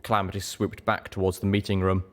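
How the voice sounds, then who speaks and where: A man's voice: sits low, flat delivery, quick pace. A man reading a first-person adventure story aloud as dramatic narration. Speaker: audiobook reader.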